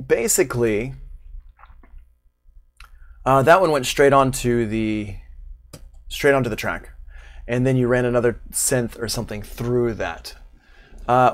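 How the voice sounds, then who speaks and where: Man in his thirties, lower-pitched than average to middling voice, creaky fry, informal tutorial, thinking aloud. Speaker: online music production instructor. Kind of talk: casual software tutorial. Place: small room at home, indoors, livestream.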